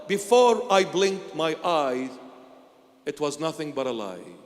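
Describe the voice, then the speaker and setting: Man, bishop preaching slowly in a large echoing hall; dramatic swings in volume. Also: on the high side, very animated. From Iraq. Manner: dramatic, swings from loud exclamations to hushed lines. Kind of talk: sermon.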